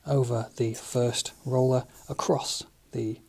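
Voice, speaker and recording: A man giving a relaxed, methodical hands-on tutorial as a studio engineer, walking through each step with the odd filler. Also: mid-range voice, takes it slowly, vocal fry. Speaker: studio engineer demonstrating equipment.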